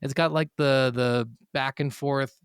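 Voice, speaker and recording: Man, medium pitch, gravelly vocal fry, casual gaming podcast co-host. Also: fairly even intonation, measured pace, no room sound, clean studio sound. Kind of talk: casual chat about video games.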